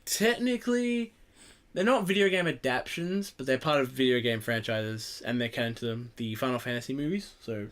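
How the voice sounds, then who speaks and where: A man's voice: medium pitch, fairly quick, hardly any pauses, a bit bright. Rambling, sweary man hosting a podcast. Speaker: podcast host. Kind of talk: casual opinionated group chat.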